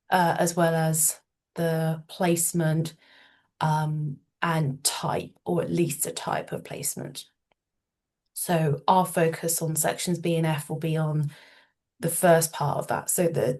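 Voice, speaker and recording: A woman with an English accent, a solicitor giving an informative talk, slightly nasal from a cold, measured, with many ums and restarts. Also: on the low side, darkish tone.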